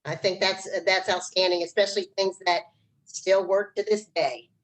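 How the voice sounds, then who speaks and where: A woman's voice: mid-range voice, steady tone, moderate pace. Plain-spoken middle-aged woman explaining business technique. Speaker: guest business trainer. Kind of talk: conversational instruction.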